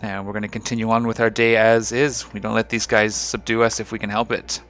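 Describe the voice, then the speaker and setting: Bright-voiced man, a little hoarse. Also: fairly low pitch, a little fast, soft background music. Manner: relaxed, rambling, explains ship fittings. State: slightly rough voice after a night of singing. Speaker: gaming channel host. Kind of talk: casual gameplay commentary.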